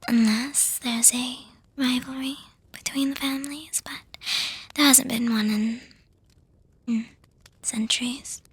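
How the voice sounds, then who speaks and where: Woman playing a breathy whispering vampire girlfriend. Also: high-pitched, steady tone, no room sound, exaggerated voice. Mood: soft, matter-of-fact. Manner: slow, hesitant pauses with soft hums. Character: young female vampire girlfriend, doting, refined, gently teasing. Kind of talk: ASMR girlfriend roleplay audio. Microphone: close microphone, dry.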